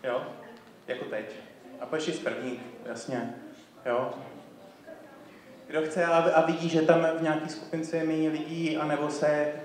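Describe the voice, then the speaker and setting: A man's voice: fairly high pitch, steady tone, normal speed, long pauses, darkish tone. A man who is a native Czech speaker leads a dance rehearsal with informal, encouraging instructions. His voice rings heavily around a big theatre hall, caught by a distant camera. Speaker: dance teacher. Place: large theatre stage, recorded by a far camera. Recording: very echoey theatre hall from far off.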